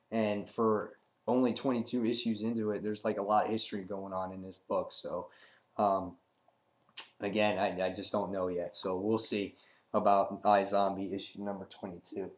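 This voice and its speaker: A man with a somewhat low, raspy voice, worn by the flu, talks through his comic picks in a loose monologue. He rambles, restarts his sentences and leans on "um" and "like" as his enthusiasm builds. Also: darkish tone. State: recovering from the flu. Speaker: comic review vlogger. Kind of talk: casual review monologue.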